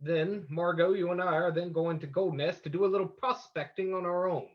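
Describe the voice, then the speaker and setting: An actor playing a calm, decisive detective hero in an old-time radio drama, brisk and authoritative, on a dull recording. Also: male voice, sits high.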